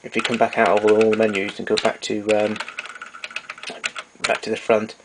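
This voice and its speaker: Low, even-voiced man over noticeable hiss. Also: moderate pace. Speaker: tape recorder repairer giving a demonstration. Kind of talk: informal technical demonstration. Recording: noisy amateur recording with clicks.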